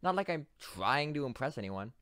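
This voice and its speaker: A woman voicing a cocky, self-conscious young male unicorn squire in an animated web series, with a wry, drawling manner. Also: a bass voice, moderate pace, no room sound, studio quality, heavily acted. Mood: flustered, brooding.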